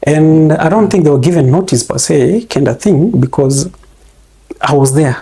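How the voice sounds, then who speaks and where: A man's voice: a little high, moderate pace, warm tone, slightly rough. A man with a Kenyan accent, a guest on an interview, who talks in a rambling, hesitant way full of fillers. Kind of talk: casual interview.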